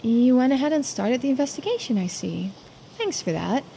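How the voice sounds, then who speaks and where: A woman voicing a composed, dryly commanding senior investigator in a mystery game, with a crisp questioning manner. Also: high voice, big pitch swings, moderate pace, warm tone, slight background noise, voice pushed up, heavily acted. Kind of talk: voiced visual-novel dialogue.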